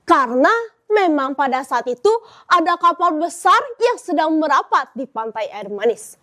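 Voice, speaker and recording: A teenage girl telling a folktale in a school storytelling contest, expressive and dramatic, speaking to judges and audience. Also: sits high, moderate pace. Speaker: student storyteller.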